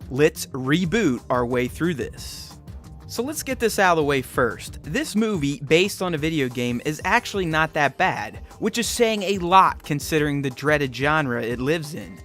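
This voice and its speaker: A man's voice: fairly high pitch, very animated, a little slow, a bit bright. A man hosting a film review, reading scripted narration. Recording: music bed behind voice. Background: background music.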